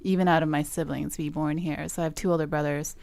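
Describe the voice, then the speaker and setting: A woman's voice: deep voice, little pitch movement, quick pace, gravelly, a little mellow, no room sound. A young woman from Southern California, chatting casually in an interview, with lots of likes and ums. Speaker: guest musician.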